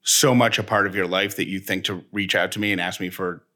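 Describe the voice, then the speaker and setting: A man's voice: sits low, steady tone, fairly quick, pushed and bright. A man in casual, reflective personal talk as a co-host, speaking in an earnest, reassuring way in long monologues.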